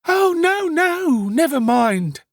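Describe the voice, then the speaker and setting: A man's voice: very high-pitched, sing-song, takes it slowly, dry recording, clean studio sound, pitched above the performer's own voice, exaggerated voice. A man voicing a hysterical, scheming young woman who wails melodramatically in an audiobook story. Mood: tearful, scolding. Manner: wailing, melodramatic exclamations.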